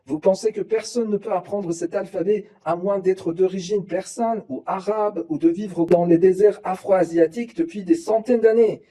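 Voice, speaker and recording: A man's voice: high-pitched, steady tone, dark tone. A man whose native language is Persian, teaching a language lesson in French. He says each example word twice with care before giving its meaning. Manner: slow and careful, repeating each word twice before translating it.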